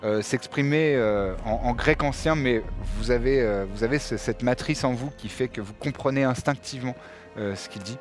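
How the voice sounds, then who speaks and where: Male game master, improvised narration, halting delivery. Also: mid-range voice, soft background music. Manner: halting, many fillers and restarts while describing.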